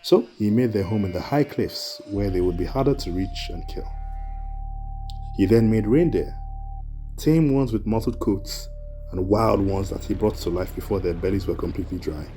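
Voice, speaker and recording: A man narrating a scripted folktale as a storyteller, in a measured way with dramatic pauses. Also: fairly low pitch, warm tone. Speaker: storyteller host. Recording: music under the voice. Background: background music.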